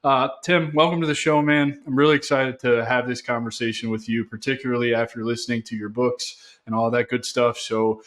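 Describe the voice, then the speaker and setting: A man's voice: mid-range voice, fairly even intonation, brisk, hardly any pauses, a bit bright. A man hosting a veteran podcast, giving a punchy, casual introduction with a salty edge. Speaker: podcast host.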